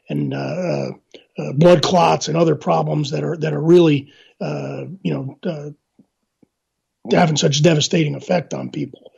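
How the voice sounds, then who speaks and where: Hoarse middle-aged male guest, gravelly and husky, halting with fillers; dull lo-fi audio. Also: fairly high pitch, a little mellow. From Northern Virginia, USA. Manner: halting, many "uh" fillers and restarts. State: hoarse from illness. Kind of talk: casual interview talk. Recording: dull lo-fi line.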